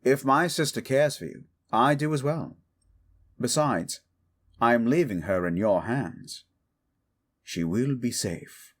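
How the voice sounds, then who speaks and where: A man's voice: mid-range voice, lively intonation. A man playing a protective, stubborn young king in a romantic audio drama, formal, earnest and tender. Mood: solemn. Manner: formal, measured, earnest phrasing. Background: background music.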